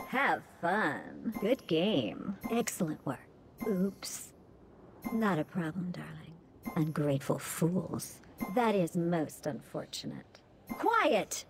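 A woman playing a seductive, haughty demon temptress in a video game, with a breathy, flirtatious, theatrical delivery. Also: fairly low pitch, exaggerated voice. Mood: coy, poised. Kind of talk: video game character voice lines. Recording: music behind the voice.

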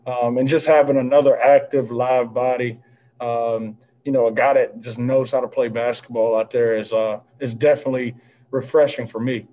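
Man, head coach, postgame press answers, warm mellow tone, measured, lots of fillers, video call. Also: medium pitch, level tone. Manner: earnest, measured, frequent fillers. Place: indoor press backdrop room. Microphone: video call, seated at desk with mask.

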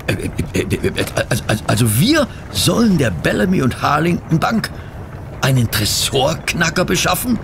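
An incredulous, fussy gentleman sidekick in a detective radio drama, with vehicle noise behind him. His voice is on the high side and very animated, breaking into sputtering, indignant exclamations. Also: moderate pace, gravelly. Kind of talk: German radio play acting.

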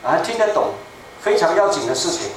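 An older man in his seventies delivers a sermon in a small church, taking his time and hammering home each point by repeating it. His voice sits a little high for a man. He is picked up from across the room, with heavy echo and a faint hiss behind him. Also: very animated. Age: 70s. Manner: deliberate, slow and emphatic, repeating key phrases. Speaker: preacher. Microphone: room mic from a far camera. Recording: echoey room, distant mic, faint noise.

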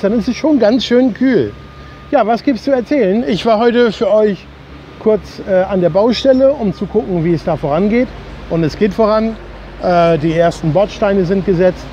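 A man's voice: sits high, dark tone. A man in his fifties from Germany, chatting casually to his viewers as host of a vlog, recorded outdoors at arm's length. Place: outdoors on a beach. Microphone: phone or camera at arm's length. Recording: dull lo-fi sound, outdoor wind or ambient noise.

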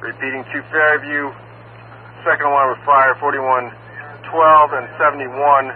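A man's voice: mid-range voice, level tone, measured pace. A man working as a county fire dispatcher, reading formal, procedural announcements over two-way radio. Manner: procedural, repeats addresses and numbers in set phrases. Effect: two-way radio transmission. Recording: narrow, noisy scanner radio with hum.

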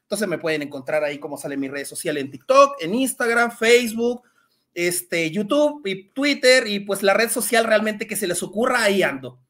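A man in his thirties from Chile, with a Chilean accent mixed with Mexican Spanish, casually explaining biology in a live Q&A over a headset at home, with a relaxed manner and many filler words. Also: high voice, normal speed. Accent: Chilean with some Mexican Spanish. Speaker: science communicator guest. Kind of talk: casual Q&A talk on a video call. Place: small room at home. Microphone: headset on a video call.

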